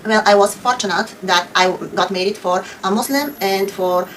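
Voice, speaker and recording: A woman's voice: mid-range voice, normal speed, fairly bright tone, recorded from a distance, a little hiss or hum, low-quality recording. A woman from Bulgaria with a Bulgarian accent, speaking earnestly and devoutly as she answers viewer questions about her faith, often using Arabic religious phrases. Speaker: convert sharing her story.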